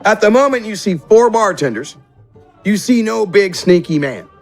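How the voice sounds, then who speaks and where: A man with a fairly high voice acts as game master. He improvises descriptions for his players in a conversational tone, with soft music underneath. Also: expressive, moderate pace. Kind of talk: improvised tabletop game narration. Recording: music behind the voice.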